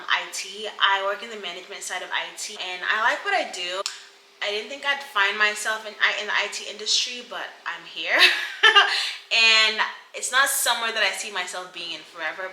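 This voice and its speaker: A woman's voice: mid-range voice, pushed and bright, reverberant, slight background noise, poor audio. A woman in her mid-twenties from Cameroon, partly raised in the US, chatting casually and informally to camera as a vlogger, with giggly, rambling asides. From Cameroon, partly grew up in the US. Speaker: vlogger introducing her channel.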